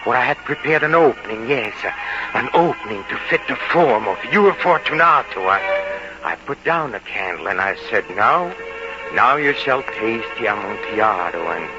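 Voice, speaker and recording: An actor playing an obsessive, vengeful murderer confessing in a theatrical radio drama monologue, halting and repeating words as he gloats in torment. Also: medium pitch, expressive, natural voice. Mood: feverish, gloating. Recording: muffled vintage radio recording. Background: dramatic music.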